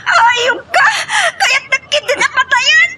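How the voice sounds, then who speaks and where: A woman's voice: very high voice, slow pace, raised voice. An actress playing a scornful, bitter woman who nags and confronts her lover in an Ilocano melodrama. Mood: furious, crying out. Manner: nagging, confrontational. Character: scornful, bitter female lover named Monica, demanding and fiery. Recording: faint background noise.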